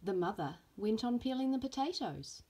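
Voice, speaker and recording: A woman, a primary school teacher reading a storybook aloud to young children in a simple, expressive way. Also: a little high, normal speed, slightly rough.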